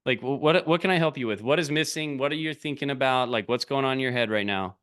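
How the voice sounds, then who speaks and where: A man's voice: mid-range voice, fast, a bit bright, clear, resonant tone. A middle-aged man coaching a group over a video call, talking bluntly in short, punchy, repeated sentences. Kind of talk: motivational talk on a live video call.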